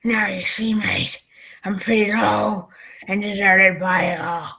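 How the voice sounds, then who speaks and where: A woman playing a gruff, drunken old sea captain with a raspy voice in a radio play. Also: medium pitch, fairly even intonation, slow, bright, forceful tone, exaggerated voice. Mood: weak, pleading. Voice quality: raspy, gravelly.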